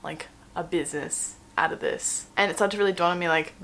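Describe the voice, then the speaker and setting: A woman's voice: fairly low pitch, fairly quick, fairly bright tone, a little hiss or hum, low-quality recording. A woman in her twenties from Australia, chatting casually to the camera at home while doing her makeup, rambling with lots of "like"s and joking asides. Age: 20s. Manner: chatty and rambling, many "like"s and self-mocking asides. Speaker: vlogger. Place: home room indoors.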